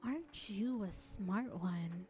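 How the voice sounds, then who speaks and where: A woman's voice: medium pitch, lively intonation, takes it slowly, warm tone. A woman voicing an exasperated, sarcastic vampire in an audio roleplay, drawling with mockery and scorn. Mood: mocking. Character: sarcastic, exasperated, foul-mouthed female vampire.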